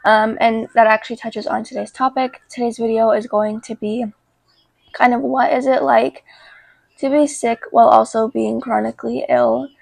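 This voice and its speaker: A young woman vlogging casually about her life, congested with a cold, talking in an informal, halting way. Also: on the high side, little pitch movement, creaky, no room sound, rough recording. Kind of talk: casual chat to camera.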